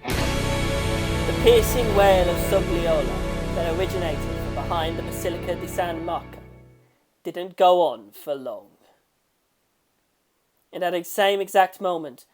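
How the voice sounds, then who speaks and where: Low-pitched woman reading fiction with theatrical delivery. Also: soft background music. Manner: theatrical, emphatic swells and hushed drawn-out phrase endings. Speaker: audiobook-style reader of a fan story. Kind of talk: dramatic scripted narration of prose fiction.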